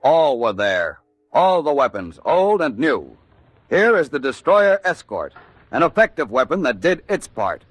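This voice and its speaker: A man announcing in an emphatic 1940s propaganda radio style with rhetorical pauses. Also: medium pitch, sing-song, no echo.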